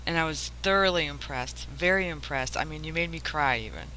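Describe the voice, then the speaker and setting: A woman's voice: deep voice, fairly quick, sharp tone. A woman who runs a radio station, speaking casually and effusively on a live call. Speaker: internet radio station owner. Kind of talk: casual interview talk. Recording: faintly noisy live call.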